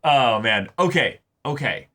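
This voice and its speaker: A man's voice: medium pitch, animated, unhurried, a bit bright. A man co-hosting an anime reaction talk, rambling casually and acting out characters' lines.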